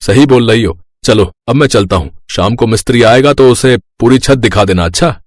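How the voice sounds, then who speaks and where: An actor playing a mild, hesitant, accommodating middle-class husband and younger brother in a scripted moral story. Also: male voice, medium pitch, moderate pace, dry recording, clean studio sound, natural voice. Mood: easygoing.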